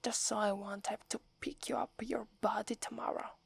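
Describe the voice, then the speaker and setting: A woman with an Italian accent voicing a proud young werewolf woman, whispering softly close to the mic and stammering when flustered. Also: mid-range voice, level tone, moderate pace, gravelly, breathy edge, no room sound, exaggerated voice. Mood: stern, guarded. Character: proud young werewolf woman, gruff but secretly fond. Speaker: ASMR roleplay performer. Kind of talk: whispered ASMR roleplay.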